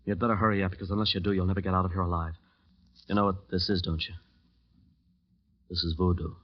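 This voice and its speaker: An actor playing a grave musician and voodoo initiate who gives ominous, urgent warnings in 1940s radio drama style. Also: male voice, low voice, little pitch movement, fast talker. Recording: muffled old radio recording.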